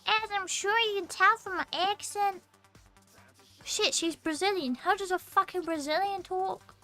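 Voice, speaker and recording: Woman streamer with a very high, bright voice, casual and foul-mouthed, reading a game aloud. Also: unhurried, soft background music. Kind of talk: casual gameplay chat.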